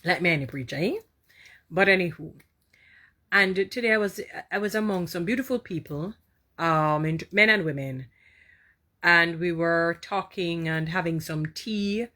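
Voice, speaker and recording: Jamaican woman, lowish voice, casual host of a live stream, warm and rambling. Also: measured pace. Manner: warm, chatty, repeats herself, reads and answers viewer comments. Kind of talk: casual inspirational live talk.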